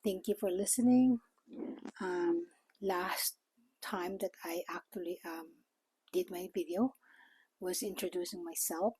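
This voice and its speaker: An older woman with a Filipino accent, native in Tagalog, speaks slowly in an informal vlog style. Her voice is mellow and somewhat husky. She explains things in a halting way, recorded close and dry. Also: on the low side, no room sound, poor audio. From Philippines. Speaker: vlogger. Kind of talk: informal talk to camera.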